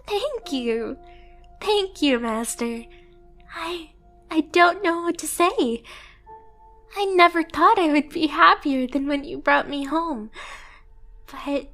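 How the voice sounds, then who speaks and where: A woman's voice: high voice, fairly bright tone, exaggerated voice. A woman playing a devoted, anxious and possessive young catgirl pet in an ASMR anime roleplay, timid and hesitant as she pleads with the listener. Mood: touched, tearful.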